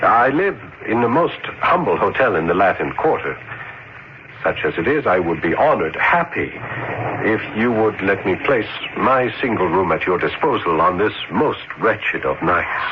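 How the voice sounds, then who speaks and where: Low-voiced, gallant young student. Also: male voice, sing-song, moderate pace, noisy background. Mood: earnest pleading. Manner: courtly, tender, florid and dramatic. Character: earnest, gallant, poor young male student in revolutionary Paris. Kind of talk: old radio drama acting and narration. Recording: muffled old radio broadcast.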